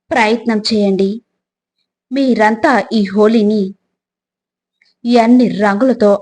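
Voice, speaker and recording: A woman reading scripted motivational reflections in a measured, instructive way, addressing the listener directly. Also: mid-range voice, darkish tone, slightly rough. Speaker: motivational quotes narrator.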